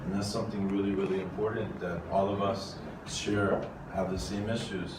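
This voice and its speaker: A man's voice: low-pitched, level tone, normal speed. A man hosting an open forum, speaking earnestly and encouragingly to a room of people. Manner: earnest, encouraging, says y'all. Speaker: forum host. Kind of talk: addressing a room. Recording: echoey room, distant mic, noisy.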